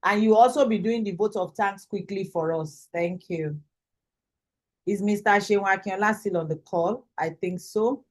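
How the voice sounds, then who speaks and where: A woman's voice: mid-range voice, moderate pace. A woman with a Nigerian accent informally hosting an online event as its instructor on a video call. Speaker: host and lead instructor of an online graduation. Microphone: video call microphone.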